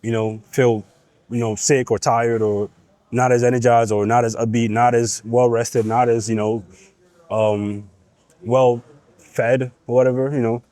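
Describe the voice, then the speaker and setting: A man's voice: medium pitch, little pitch movement, unhurried, warm tone. A young man with a cold and a nasal voice, talking bluntly to the camera between sets in a gym. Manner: blunt, profane, pep-talking. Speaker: fitness vlogger. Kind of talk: casual gym vlog talk.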